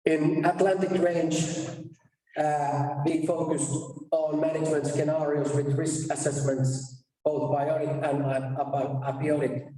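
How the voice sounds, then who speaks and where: A man giving a conference presentation, halting and restarting mid-sentence as he walks through his slides. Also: on the high side, little pitch movement, dark tone. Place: conference session room. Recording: echoey room, distant mic.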